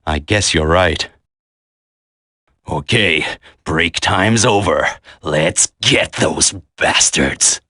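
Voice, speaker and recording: A wry, weary soldier in a video game. His voice is somewhat low with a bright, forceful edge. He talks colloquially. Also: very slow, no room sound, clean studio sound, heavily acted. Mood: weary resolve. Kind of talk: dramatic video game voice acting.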